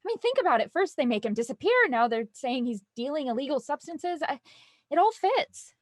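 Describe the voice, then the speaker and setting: A woman playing an anxious, stubborn and wry young woman in a naturalistic audio drama, with halting, stammering speech. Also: high voice, big pitch swings, no echo, studio quality. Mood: insistent. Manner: halting, stammering, rambling when nervous.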